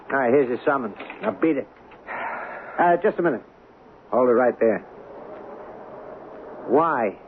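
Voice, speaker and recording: A man's voice: medium pitch, lightly acted. An actor playing a tough, honest city policeman in a radio drama, blunt and sardonic. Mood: stern, contemptuous. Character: tough, honest, plain-spoken city beat cop. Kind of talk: old-time radio drama acting. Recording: tinny, noisy radio broadcast recording.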